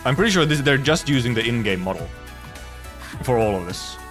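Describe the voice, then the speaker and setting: A man live streaming in casual chat, rambling and haltingly thinking aloud, with some offhand swearing. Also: mid-range voice, sing-song, over music. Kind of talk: casual chat, reacting to viewers.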